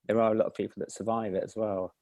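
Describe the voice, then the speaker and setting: A man with an Estuary English accent, speaking in a fairly low voice. He chats easily as an interview guest, self-deprecating and given to restarts. The sound is dry and close. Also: quick pace, no room sound. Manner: relaxed, self-deprecating, with frequent restarts and laughs. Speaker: indie filmmaker and teacher, guest. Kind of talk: casual interview chat.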